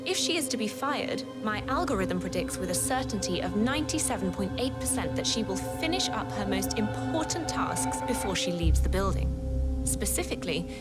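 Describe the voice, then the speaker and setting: A confident, ambitious woman tech chief from a video game, played by an actress, pitches with smug precision. Her delivery is articulate and measured over loud music. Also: animated, lightly acted. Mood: smug, persuasive. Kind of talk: scripted video game dialogue.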